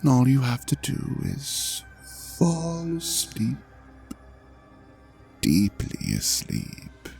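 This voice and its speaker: A man playing an ancient serpent god in an audio drama, seductive, menacing and possessive, coaxing languidly and often dropping into breathy whispers. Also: mid-range voice, huge pitch swings, very slow, long pauses, darkish tone, faint music behind, heavily acted. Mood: amused, coaxing. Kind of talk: slow hypnotic audio drama monologue.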